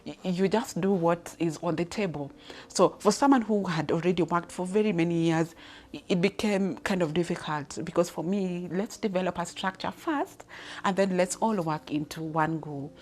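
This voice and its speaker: A woman's voice: lowish voice, moderate pace, darkish tone. A Kenyan woman in her thirties speaking off the cuff about her career, with many restarts and fillers. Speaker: leadership speaker. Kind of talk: personal story, unscripted talk.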